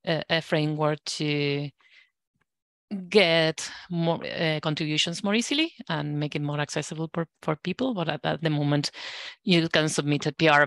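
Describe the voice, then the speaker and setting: A woman's voice: deep voice, no room sound. A woman giving a spoken status report to colleagues as a working group lead on an online call.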